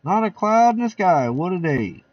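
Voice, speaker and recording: Brief: man playing boisterous party crowd voices, high and hollering, dull distant sound. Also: big pitch swings, moderate pace, no room sound. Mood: rowdy. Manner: loud, rowdy. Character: rowdy loud party guests, rude and boisterous.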